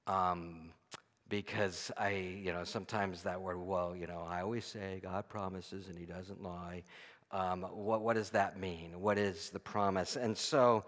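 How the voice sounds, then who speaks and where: A man preaching an informal, conversational sermon, speaking haltingly with frequent pauses and restarts. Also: sits low, steady tone, fairly bright tone. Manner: halting, often pausing and restarting. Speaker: pastor preaching. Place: church stage, indoors before a congregation. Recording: echoey hall, long reverb.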